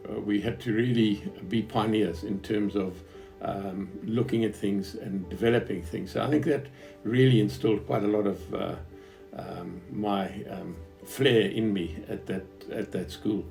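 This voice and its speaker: Man, 70s, Southern African accent, low and soft-edged tone, reflective halting storyteller, faint music underneath. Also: steady tone, vocal fry. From Zimbabwe. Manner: hesitant, reflective, halting phrasing. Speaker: businessman recounting his life. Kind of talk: unscripted autobiographical storytelling to an interviewer.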